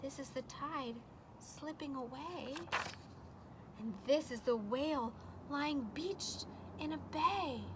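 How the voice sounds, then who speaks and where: A woman's voice: high voice, heavy background noise. A woman reading a children's storybook aloud expressively, with dramatic emphasis on the rhymes and casual asides. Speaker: storybook reader.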